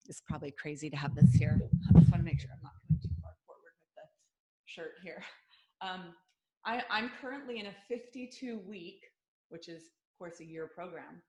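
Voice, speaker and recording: A woman's voice: on the low side, poor audio. Middle-aged female health coach hosting a video-call workshop; chatty, earnest, prone to tangents. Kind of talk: informal online workshop talk.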